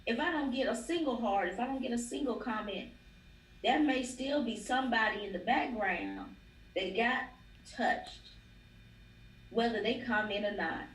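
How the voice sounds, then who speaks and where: A woman's voice: fairly high pitch, normal speed. A woman guest in a casual livestream interview, an educator who talks emphatically and repeats phrases for stress. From Nashville, Tennessee. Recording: roomy, distant, faint ambience.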